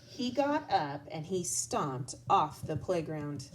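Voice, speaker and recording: A woman teaching through a children's read-aloud, in a slightly low voice. She is deliberate and expressive, taking her time with very animated pitch. There is faint noise in the recording. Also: rough recording. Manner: expressive, deliberate, pausing to address child listeners.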